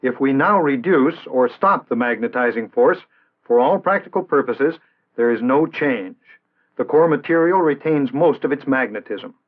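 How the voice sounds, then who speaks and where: A man's voice: mid-range voice, animated. Tinny vintage film narrator, American man. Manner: measured, formal, didactic. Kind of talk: scripted instructional narration, early 1960s film. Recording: tinny band-limited vintage 1960s film soundtrack.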